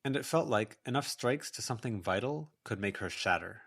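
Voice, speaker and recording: A man's voice: medium pitch, animated, brisk, a bit bright, slightly rough. A man reading scripted fiction as an audiobook narrator.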